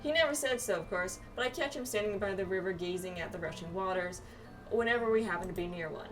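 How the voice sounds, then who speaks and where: A woman's voice: medium pitch, quick pace, soft background music, close to an everyday voice. Woman reading as a wistful young fantasy clanswoman, slightly distant. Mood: fond. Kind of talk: reading game dialogue aloud.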